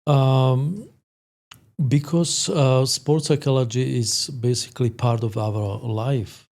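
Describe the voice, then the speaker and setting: A man in his fifties with a Slovak accent, a psychologist explaining things as an interview guest in a halting, hesitant way. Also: mid-range voice, fairly even intonation, a little mellow. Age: 50s. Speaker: sports psychologist, podcast guest.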